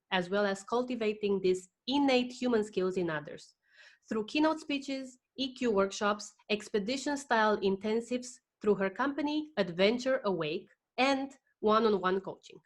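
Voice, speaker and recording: A woman hosting a podcast interview, introducing her guest enthusiastically and talking with frequent fillers. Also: medium pitch. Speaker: podcast host.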